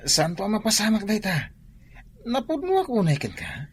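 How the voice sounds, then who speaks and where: A man's voice: high-pitched, very animated, a little slow. Young driver romancing his boss, Filipino accent, swooping intonation, radio drama, slight background noise. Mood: sweet-talking. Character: smooth young driver and student wooing his wealthy lady boss. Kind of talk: Ilocano radio drama acting.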